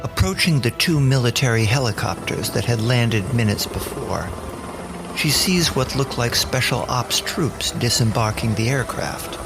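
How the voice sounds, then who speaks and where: Guy narrating a story podcast, gravelly, takes his time, music playing behind. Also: mid-range voice, animated, a little slow, low-quality recording. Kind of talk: scripted audio drama narration. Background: music bed.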